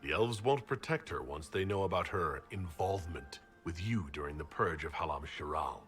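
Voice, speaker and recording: An actor playing a resolute, courteous heroic leader in a video game, speaking in a measured, earnest way. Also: male voice, low voice, animated, a bit bright, faint music behind. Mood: accusing. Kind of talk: video game cutscene acting.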